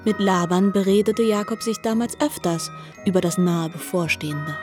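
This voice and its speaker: A woman narrating a literary radio play calmly and descriptively. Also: medium pitch, moderate pace, over music.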